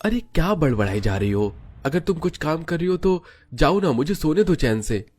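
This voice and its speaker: A man's voice: on the high side, big pitch swings, moderate pace, darkish tone, pitched above the performer's own voice. A man voicing a young, self-centred, whiny husband in a cartoon story. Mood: irritated, sleepy. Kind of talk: Hindi cartoon story voice acting.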